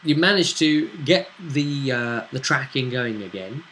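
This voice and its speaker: A man's voice: medium pitch, expressive, measured pace, sharp tone, slight background noise. A man hosting a casual recap of a tabletop roleplaying session, telling it in a conversational storytelling way. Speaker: host and game master recapping a tabletop session.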